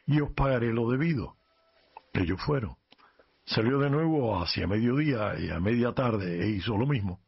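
A man who is a priest, reading a gospel passage and explaining it in a calm, measured way on a radio program. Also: medium pitch, slightly rough, muffled recording.

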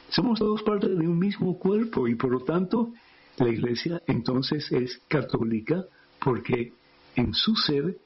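A man's very husky, breathy voice, steady in pitch, comes through slightly dull, muffled broadcast audio. He preaches with emphasis and keeps turning to his audience with rhetorical questions. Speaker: priest answering viewer questions. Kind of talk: preaching explanation.

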